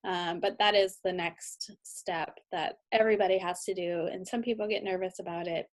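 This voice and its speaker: A woman with an American accent presenting training slides as a lawyer, instructional and informal, with frequent hesitant pauses and ums. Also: fairly low pitch, steady tone. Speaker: immigration lawyer giving training.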